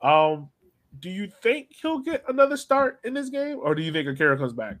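A man's voice: high voice, big pitch swings, normal speed, a bit bright. American man hosting a casual soccer podcast. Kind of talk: casual chat, leading discussion.

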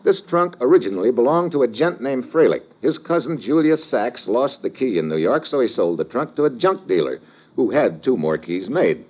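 An actor playing a wisecracking, suspicious American government agent in a vintage radio detective drama, slangy and sardonic. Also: male voice, mid-range voice, very animated, moderate pace, darkish tone. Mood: suspicious, pressing. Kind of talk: 1950s radio detective drama, first-person narration and dialogue. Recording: dull vintage radio recording, music behind.

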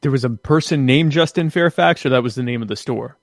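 A man chats casually as a podcast host in a dry, close recording. His delivery is wry and jokey, wandering and halting as he goes, at a medium pitch. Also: dry recording. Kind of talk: casual conversational podcast chat.

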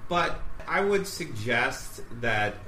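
A man speaking as an interview guest, explaining things thoughtfully in a conversational, halting way and repeating words as he goes. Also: mid-range voice, big pitch swings, bright, forceful tone. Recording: echoey room with faint background noise.

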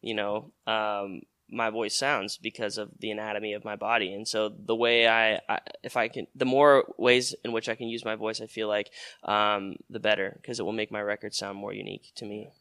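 Smooth-voiced young man chatting loosely. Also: on the low side, flat delivery, normal speed, sharp tone, no echo, clean studio sound. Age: 20s. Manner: rambling, many fillers and restarts, laughs at himself. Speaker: musician guest. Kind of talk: casual interview chat.

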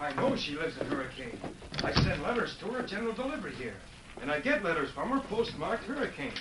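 An American actor playing an earnest, trusting young cowboy, boyish and polite, in an old-time radio western. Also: male voice, fairly high pitch, very animated, quick pace, heavy background noise. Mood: eager, grateful. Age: young adult.

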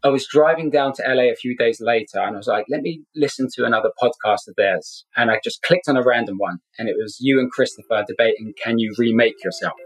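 A middle-aged man with a London accent shares a personal story as a podcast guest. His voice sits at an average pitch. He speaks earnestly and repeats himself to drive a point home. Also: quick pace, hardly any pauses, husky, rough recording. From Scotland, grew up in London. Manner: earnest, emphatic repetition.

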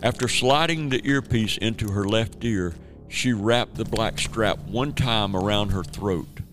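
Measured male audio-drama narrator. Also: lowish voice, music in the background. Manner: measured, storytelling. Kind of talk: scripted narration.

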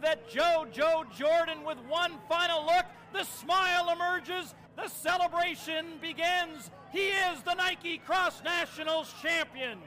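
A man's voice: extremely high pitch, fairly even intonation, measured pace, few pauses, bright, forceful tone. A man giving live sports commentary as a race commentator, in a clip from an outdoor race broadcast.

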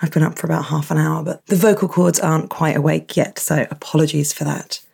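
A woman hosting her podcast from a small box room at home, chatty and croaky in the early morning. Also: low-pitched, steady tone, a little fast. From England. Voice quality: husky. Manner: chatty, warm thanks and asides. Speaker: podcast host. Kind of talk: casual podcast intro.

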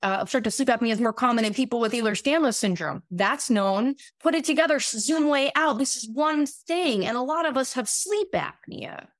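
A raspy-voiced woman in her thirties, a guest physician explaining at length in casual podcast talk, with many fillers and restarts. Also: a little high, expressive, a little fast, hardly any pauses, no room sound, clean studio sound. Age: 30s.

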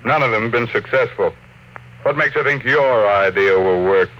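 An actor playing a ruthless, ambitious tycoon, curt and commanding, in an old-time radio drama. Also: male voice, mid-range voice, moderate pace, lightly acted. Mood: impatient, dismissive. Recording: noisy, muffled old radio broadcast.

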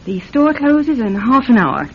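Gracious, animated actress wife. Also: female voice, fairly high pitch, a little slow, breathy edge, exaggerated voice. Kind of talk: classic radio drama acting. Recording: dull radio recording.

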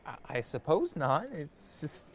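A man's voice: fairly high pitch, sing-song, unhurried, a little hiss or hum. A man playing an earnest, doubtful young spellcaster in a fantasy audio drama, hesitant and questioning. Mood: disbelieving.

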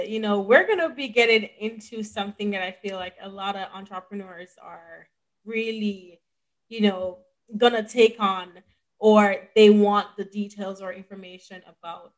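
A woman's voice: medium pitch, fairly bright tone, low-quality recording. A woman hosting a podcast interview, upbeat and casual, with many fillers. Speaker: podcast host.

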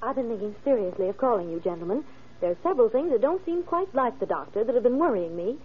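An earnest secretary in a vintage radio crime drama. Her fairly high voice moves quickly with animated intonation, concerned yet precise. The old recording sounds dull. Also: breathy edge. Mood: concerned, brisk. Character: earnest, worried female secretary at a doctor's office.

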